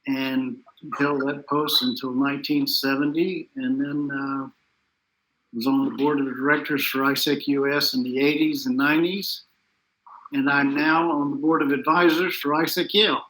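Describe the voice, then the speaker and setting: An older man gives a quick, lively account of himself on a video call. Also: mid-range voice, low-quality recording.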